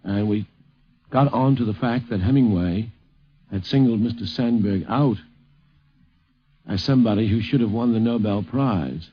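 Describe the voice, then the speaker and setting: A man reminiscing in a deliberate, measured way, as a guest critic on a 1950s radio recording. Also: lowish voice, slightly rough, lo-fi sound. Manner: slow, deliberate, measured phrasing. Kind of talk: spoken reminiscence.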